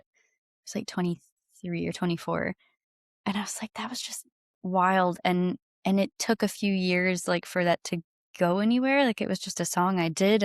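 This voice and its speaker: Lowish-voiced young woman, casual and candid. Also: dry recording, clean studio sound. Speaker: guest, singer-songwriter. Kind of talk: casual chat, storytelling.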